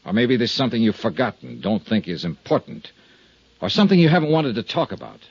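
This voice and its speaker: An American actor playing a dogged, matter-of-fact insurance investigator in a 1950s radio detective drama, with a clipped, probing delivery. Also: male voice, on the low side, wildly swooping pitch, fairly quick, slightly rough, no room sound, heavily acted. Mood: grave, insistent. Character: hard-boiled, dogged male insurance investigator and narrator. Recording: dull vintage 1950s radio recording.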